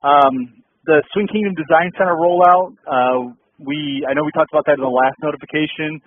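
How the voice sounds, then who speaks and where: A man's voice: fairly high pitch, steady tone, normal speed, no echo. Man on a tinny phone line. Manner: casual, many ums and uhs, repeats points. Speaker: host of a dealer update call. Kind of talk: business update call.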